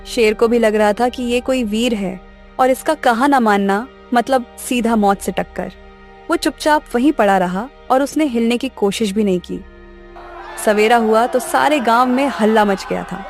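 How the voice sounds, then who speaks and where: A woman's voice: on the high side, moderate pace, soft background music. A woman narrating a scripted children's moral story.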